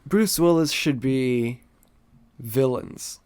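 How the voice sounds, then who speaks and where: A man's voice: medium pitch, slow, a little mellow, clear, resonant tone, no room sound. A man co-hosting a comedy podcast, tired, swearing freely and laughing easily as he riffs. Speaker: podcast co-host. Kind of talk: casual comedic chat.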